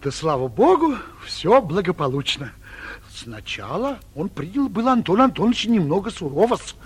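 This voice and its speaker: Excitable provincial busybody, very high husky voice, swooping intonation, deferential, rambling, vintage theatrical comedy. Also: male voice, normal speed, full character voice. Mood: eager, fussy. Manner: deferential, rambling, gossipy storytelling. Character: fussy, excitable, timid provincial gossip, a man. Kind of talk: 1940s theatrical stage comedy acting for radio.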